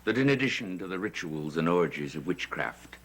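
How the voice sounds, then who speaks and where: An actor playing a sly, scheming middle-aged warlock in a 1960s soap opera, with a smooth, insinuating manner. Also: male voice, low voice, big pitch swings, a little fast, a bit bright, heavily acted. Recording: old TV soundtrack with music. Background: music.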